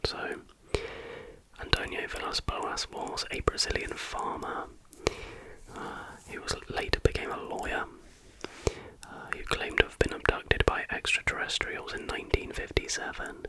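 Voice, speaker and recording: A man speaks entirely in a breathy whisper, with no voiced tone at all. He narrates in an ASMR style, reading stories aloud like an online host. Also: measured pace, poor audio. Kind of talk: whispered ASMR storytelling, reading with casual asides.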